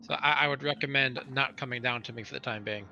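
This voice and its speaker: A man with a bright, forceful voice of middling pitch plays a tabletop roleplaying game, talking casually in character. There is a little background noise. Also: fast, vocal fry, lo-fi sound.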